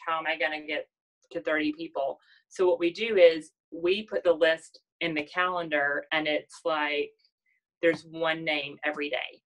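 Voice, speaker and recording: A woman's voice: fairly low pitch, poor audio. A woman in her thirties with a nasal voice, giving a casual motivational talk to her sales team and often asking "does that make sense?". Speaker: network marketing team leader.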